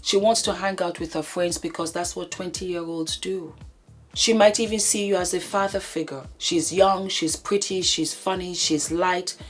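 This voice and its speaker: Low-voiced woman in her fifties vlogging. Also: steady tone, moderate pace, faint music behind. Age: 50s. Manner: warm, theatrical greetings with laughs. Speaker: vlogger host. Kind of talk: casual advice chat to camera. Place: home, indoors. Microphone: camera mic.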